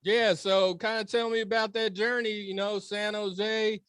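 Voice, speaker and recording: A man's voice: high-pitched, level tone, a little slow, fairly bright tone, smooth, no room sound, clean studio sound. A man hosting a podcast, asking questions in a loose, friendly way full of "you know". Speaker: podcast host. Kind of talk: casual interview chat.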